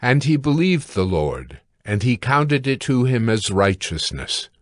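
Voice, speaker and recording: A man narrating scripture from a script in a measured, formal reading manner. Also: medium pitch, sing-song, no room sound, studio quality.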